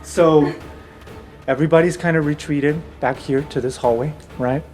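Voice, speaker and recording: A man narrating an improvised tabletop fantasy game as game master, in an excitable, dramatic way. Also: on the high side, normal speed. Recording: roomy echo, distant, background music.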